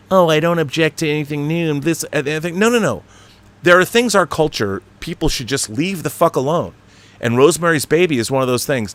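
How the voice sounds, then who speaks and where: A man's voice: medium pitch, a bit bright. Emphatic, opinionated man hosting a livestream. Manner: opinionated, emphatic fan enthusiasm; rambling, swears casually. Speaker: online show host. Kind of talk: casual livestream monologue and chat reading.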